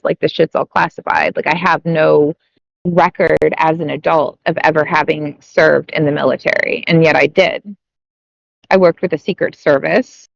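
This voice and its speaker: A woman's voice: low voice, creaky, no room sound. A woman talking in a casual, rambling way, often restarting her sentences and swearing. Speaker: speaker sharing her story. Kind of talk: casual spoken monologue. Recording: muffled, dry lo-fi audio.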